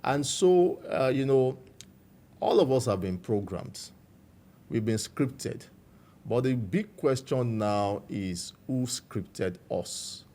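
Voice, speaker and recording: A man with a Nigerian accent, a guest recounting his life story in a measured, reflective way. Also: medium pitch, animated. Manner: measured, warm storytelling with frequent "you know".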